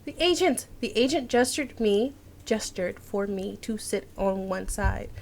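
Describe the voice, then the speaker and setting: A woman's voice: medium pitch, expressive, a little slow, gravelly, a little mellow, a little hiss or hum. A young woman chatting casually and reading a visual novel aloud as a streamer. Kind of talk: casual let's-play chat and dramatic read-aloud narration.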